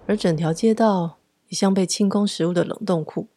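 A woman's voice: mid-range voice, a little fast, dark tone, no room sound, studio quality. A woman with a Taiwanese accent hosting a podcast and narrating a scripted story.

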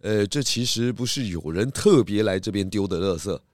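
A man playing a calm, righteous detective chief in a children's radio drama, speaking in a measured, explanatory way. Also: fairly low pitch, no room sound, clean studio sound, close to an everyday voice. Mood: explaining. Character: calm, righteous male detective chief giving verdicts and advice.

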